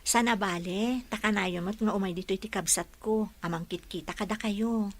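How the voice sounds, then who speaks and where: A woman playing a dutiful young caregiver daughter in a radio drama, gentle and reassuring. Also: medium pitch, steady tone, normal speed, lightly acted.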